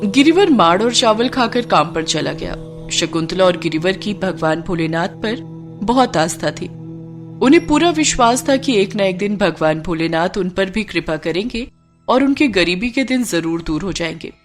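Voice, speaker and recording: A woman's voice: medium pitch, lively intonation, moderate pace, over music. A woman narrating a scripted moral story.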